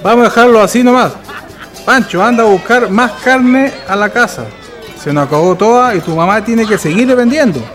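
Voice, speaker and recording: Radio drama role: sly Chilean dad, commanding, colloquial, high-set voice, light music underneath. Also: male voice, a little fast, fairly bright tone. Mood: bossy. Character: bossy, sly working-class father running a food stall.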